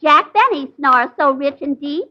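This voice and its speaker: A woman's voice: high voice, expressive, normal speed, pushed and bright. A woman playing a brassy, wisecracking female Blue Fairy who speaks in sing-song rhymes, in 1940s radio comedy. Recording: dull 1940s radio broadcast.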